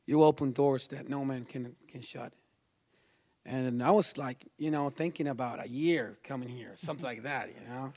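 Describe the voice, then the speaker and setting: A man from Chile, a native Spanish speaker with a Chilean accent, tells a personal religious testimony in a halting, informal way. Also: medium pitch, a little mellow. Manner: halting, informal, peppers talk with "you know" and "man".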